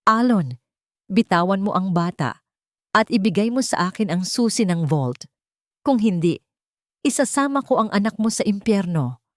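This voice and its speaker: A woman's voice: mid-range voice, lively intonation, moderate pace, long pauses, no echo, studio quality, lightly acted. A woman voicing a desperate, vengeful gunman villain in an audio drama, menacing and taunting. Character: desperate, vengeful male villain holding a gun.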